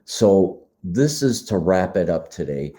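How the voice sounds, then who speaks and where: A man speaks slowly and gently in a very low voice, a little froggy and hoarse. His tone is dark and mellow, unhurried, like a meditative spiritual talk recorded in a quiet room. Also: sing-song. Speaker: podcast host. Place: quiet beach condo.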